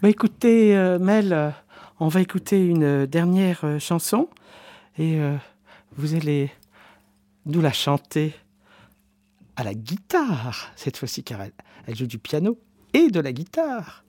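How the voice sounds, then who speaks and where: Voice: mid-range voice, animated, unhurried, long pauses, warm tone, dry recording. A radio host interviewing a guest in a studio, giving brief prompts and announcing the next song.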